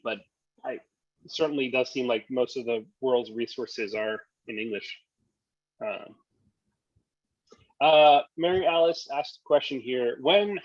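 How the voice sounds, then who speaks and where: A man's voice: medium pitch, no room sound, muffled recording. A man presenting a casual tech talk, answering questions in a hesitant, thinking-aloud way over a video call. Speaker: presenter and software developer.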